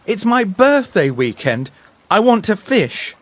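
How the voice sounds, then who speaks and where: A man voicing an excitable, stubborn twelve-year-old storybook boy with emphatic, insistent delivery. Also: high-pitched, slow pace, pitched above the performer's own voice, exaggerated voice. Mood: frustrated.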